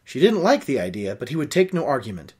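A man's voice: mid-range voice, very animated, quick pace, a little mellow, breathy edge. A young man hosting a reading show, reading a novel aloud and breaking off into opinionated, nitpicking commentary. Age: young adult. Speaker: host of a book-reading show. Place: small home office, indoors.

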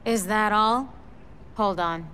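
A guarded young tech whiz in a video game. She is a self-assured woman, cool and curt, at a middling pitch with faint background noise. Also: takes it slowly, pushed and bright. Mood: cool, firm. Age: young adult.

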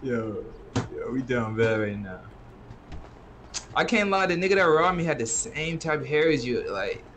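A man's voice: mid-range voice, big pitch swings, normal speed. A young American man bantering casually in an online role-play game, with slangy, sweary, complaining talk. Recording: noisy online game voice chat.

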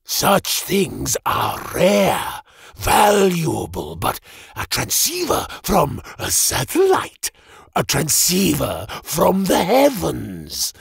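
A raspy, shrieking half-metal mage. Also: male voice, a little high, no room sound, clean studio sound, pitched above the performer's own voice, heavily acted. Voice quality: raspy, breathy whisper sliding into shrieks. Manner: theatrical, snarling, swings from hissing threat to frantic shouting. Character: menacing male mage with a metal arm and a red glowing eye, greedy and volatile. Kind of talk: audiobook character voice.

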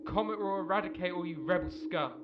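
An actor playing a zealous enemy pilot over a radio in a sci-fi audio drama. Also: male voice, sits high, fast, dull audio, background noise. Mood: defiant, zealous. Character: male enemy pilot, pleading then zealous loyalist.

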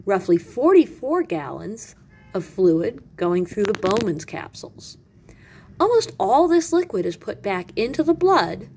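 A woman reading educational material aloud as a teacher, with animated emphasis and carefully separated lists. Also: mid-range voice, a little hiss or hum. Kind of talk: expressive educational read-aloud.

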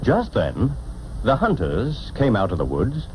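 A man narrating a children's storybook cartoon in a theatrical, expressive way, on an old film soundtrack. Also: fairly low pitch, measured pace, heavy background noise. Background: orchestral music.

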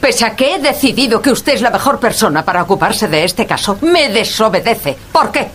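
An actress playing a haughty, demanding countess in a radio drama, curt, formal and commanding. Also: female voice, a little high, expressive, moderate pace, a bit bright, slight background noise. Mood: imperious insistence. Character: haughty, imperious aristocratic countess, demanding and indignant. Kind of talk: Spanish-language radio drama dialogue.

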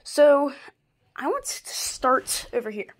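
A hobbyist showing off a collection in a casual, halting, offhand way, filmed on a handheld device in a bedroom. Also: high-pitched, animated. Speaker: hobbyist video maker. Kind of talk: casual show-and-tell of a collection. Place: small carpeted bedroom, indoors. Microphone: handheld phone or camera, moving around.

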